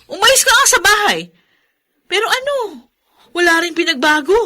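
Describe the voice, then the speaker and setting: Scornful, sharp-voiced Filipino older sister. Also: female voice, very high voice, expressive, moderate pace, exaggerated voice. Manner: scornful, accusing. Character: resentful, sharp-tongued older sister scorning her younger sister. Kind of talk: Filipino radio drama acting.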